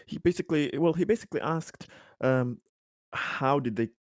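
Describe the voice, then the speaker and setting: A man's voice: fairly high pitch, moderate pace, no room sound, clean studio sound. A man playing a tabletop game, chatting casually with a hesitant, agreeable manner.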